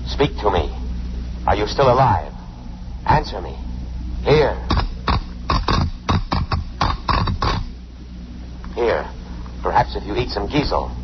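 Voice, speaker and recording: A solemn alien lifeboat computer voiced by a man from a 1950s radio play. The voice sits low and speaks in measured, formal phrases, stubborn and devoutly patriotic. The old recording is dull and clearly noisy. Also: lightly acted. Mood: distressed pleading. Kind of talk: 1950s radio drama acting.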